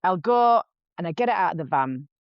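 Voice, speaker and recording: A woman's voice: fairly low pitch, animated, a little fast, no room sound. A woman, talking candidly as a podcast guest, chatty and frank with lots of restarts. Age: 40s. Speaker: podcast guest, face painter. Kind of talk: candid casual conversation. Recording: dull, dry lo-fi podcast audio.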